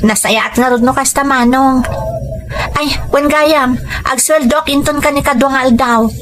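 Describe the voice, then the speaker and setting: A woman's voice: high-pitched, steady tone, a little slow, fairly bright tone. A woman playing a dutiful younger sister who works abroad as a domestic helper, speaking with a Filipino Ilocano accent in an audio drama with first-person narration. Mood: caring, agreeable.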